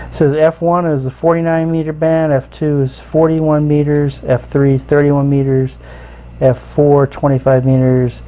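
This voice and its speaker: A man hosting a casual, unscripted hobby review, explaining features in a halting, easygoing way. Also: mid-range voice, warm tone. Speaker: radio hobbyist host reviewing gear. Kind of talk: casual unscripted product walkthrough.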